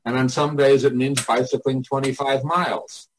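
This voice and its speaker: A man's voice: medium pitch, flat delivery, moderate pace, slight background noise, low-quality recording. An older man chatting casually and motivationally as a coach, candid, folksy and given to swearing. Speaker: artists' coach and mentor, podcast guest.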